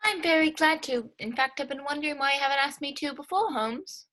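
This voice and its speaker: A woman's voice: high-pitched, fairly quick, pushed and bright. A woman playing a detective's loyal, modest male friend in a 1940s-style radio play, with an earnest manner. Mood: eager, earnest.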